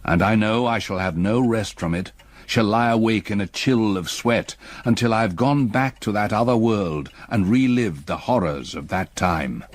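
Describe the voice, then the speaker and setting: An actor playing a middle-aged, haunted and reserved English gentleman stepfather in a radio drama, with a husky voice and formal, hesitant manner. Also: fairly low pitch, normal speed, no echo, lightly acted. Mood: haunted dread.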